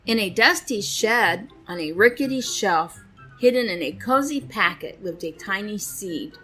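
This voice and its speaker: A woman narrating a children's storybook with expressive, deliberate phrasing. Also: medium pitch, breathy edge, soft background music.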